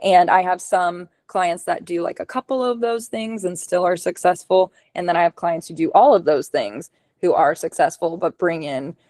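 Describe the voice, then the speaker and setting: A woman giving a casual webinar presentation on a video call, running through tips as lists with many ums and likes, her voice going hoarse. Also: lowish voice, moderate pace, darkish tone, no echo. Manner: casual, lots of ums and likes, list-like delivery. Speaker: podcast production consultant.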